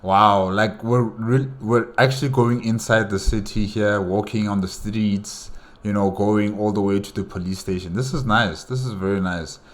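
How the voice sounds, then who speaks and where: A man's voice: lowish voice, fairly even intonation, normal speed. A man hosting a reaction video, talking casually with lots of fillers and repeated words. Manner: rambling, many fillers and repeats.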